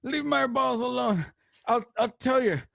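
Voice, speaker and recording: Man acting a high, creaky, desperate outlaw. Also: a little slow, a bit bright, dry recording, full character voice. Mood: pained, pleading. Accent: American. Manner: stammering, frantic, whimpering. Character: desperate, pleading Western outlaw boss under torture. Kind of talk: Western radio drama acting.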